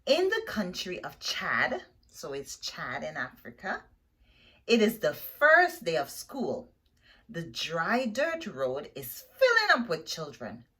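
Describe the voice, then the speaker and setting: A woman reading a children's picture book aloud in an animated, expressive way, pausing to explain the story simply to young listeners. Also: fairly low pitch.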